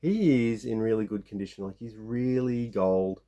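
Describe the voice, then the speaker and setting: Croaky 50s guy w a sore throat, low mellow voice, rambles thru his toy haul w lots of ums. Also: normal speed. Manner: rambling, many restarts and fillers. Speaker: toy collecting vlogger. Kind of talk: casual show-and-tell of toy haul.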